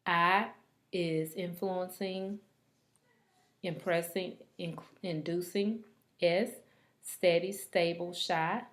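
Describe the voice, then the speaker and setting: Low-pitched woman reading a presentation. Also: steady tone, slow pace, pauses often. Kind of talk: scripted presentation read aloud.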